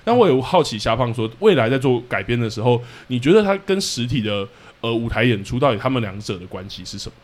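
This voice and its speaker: A man's voice: mid-range voice, very animated, quick pace. A man with a Taiwanese Mandarin accent, hosting a podcast and interviewing guests in casual chat. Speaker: podcast host.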